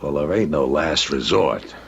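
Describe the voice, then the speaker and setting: Gravelly, very deep gangster henchman. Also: expressive, a bit bright, heavily acted. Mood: pressing. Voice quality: gravelly, husky. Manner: halting, slangy tough-guy talk with bad grammar. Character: uncouth gangster errand man, deferential, casually menacing. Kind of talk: radio crime drama acting. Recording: dull lo-fi radio recording.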